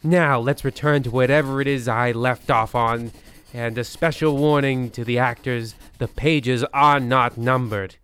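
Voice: medium pitch, steady tone, measured pace, fairly bright tone, clean tone, close to an everyday voice. An actor playing a pompous, boastful scriptwriter muttering a self-satisfied monologue in a comic radio play. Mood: smug, boastful.

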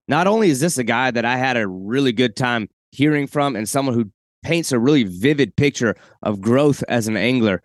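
An eager man hosting a relaxed podcast chat, his mid-pitched voice recorded close and dry with no room sound. He talks with enthusiasm, rambling and restarting his sentences as he goes. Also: dry recording. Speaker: podcast host. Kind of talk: casual podcast interview.

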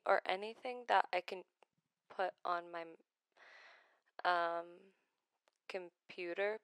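A woman in her twenties from Iraq talks about her life in a personal vlog, gentle and hesitant, with many pauses and restarts. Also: on the low side, smooth, no room sound. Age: 20s. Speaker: vlogger.

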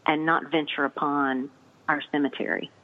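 A woman's voice: low voice, little pitch movement, moderate pace. A woman from Nashville with a Southern accent, a cemetery tour guide chatting casually and laughing often. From Nashville, Tennessee. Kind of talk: casual chat. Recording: tinny phone line.

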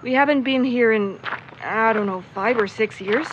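A woman's voice: fairly high pitch, normal speed, lo-fi sound, background noise, close to an everyday voice. A woman playing a feisty, brash, foul-mouthed young woman in a naturalistic audio drama. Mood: reflective. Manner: brash, snappy.

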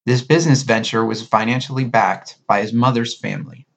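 A man's voice: mid-range voice, fairly even intonation, a little fast, gravelly. A man hosting a podcast, moving between conversational sponsor talk and scripted narration. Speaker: podcast host.

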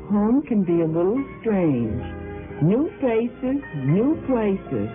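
A woman reading a scripted vintage radio advertisement as a welcome hostess. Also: mid-range voice. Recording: tinny old radio with music.

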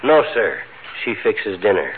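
A man playing a folksy, excitable, grumbling husband in 1940s radio comedy, with a blustery delivery. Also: on the low side, a little slow. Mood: wry grumbling. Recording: very noisy, tinny 1940s radio.

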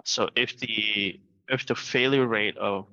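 A man's voice: on the low side, steady tone, takes it slowly, bright, forceful tone. Earnest man on a dull call line. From Vietnam. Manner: earnest, keeps adding "right?". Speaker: startup founder, guest. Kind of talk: remote interview. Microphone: video call.

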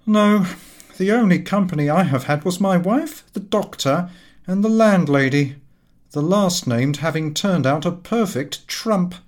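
A man voicing an aggrieved, self-pitying invalid gentleman in a comic audiobook, complaining in a plaintive, touchy way. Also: high voice, a little slow, pitched above the performer's own voice. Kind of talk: audiobook character voice, comic Victorian novel.

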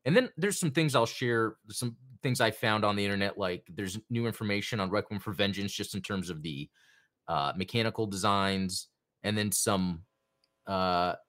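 A man's voice: lowish voice, moderate pace, fairly bright tone. A man hosting a casual hobby talk show, rambling with lots of fillers and restarts. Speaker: podcast host.

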